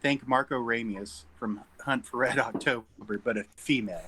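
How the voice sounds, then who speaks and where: A man's voice: mid-range voice, moderate pace, bright, forceful tone, slight background noise. A man narrating screenplay stage directions at an informal table read.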